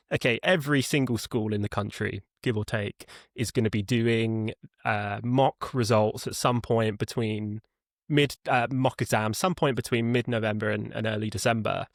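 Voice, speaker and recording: A man's voice: fairly low pitch, fairly even intonation, normal speed, creaky, no room sound, studio quality. A man being interviewed as a podcast guest, explaining conversationally, rambling and repeating words as he thinks. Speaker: podcast guest, head of customer experience.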